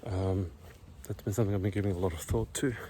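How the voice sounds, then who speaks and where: A man's voice: low voice, fairly even intonation, fast, slight background noise. Breathless whispering man walking outdoors. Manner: whispering, hushed. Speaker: vlogger. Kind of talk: casual walking vlog monologue. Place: outdoors, walking uphill in a quiet neighborhood.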